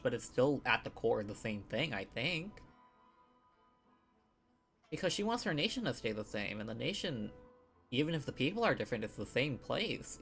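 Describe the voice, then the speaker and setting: A woman's voice: very deep voice, a bit bright. A woman casually commentating on a game she is streaming, thinking aloud in short, reactive bursts. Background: video game music.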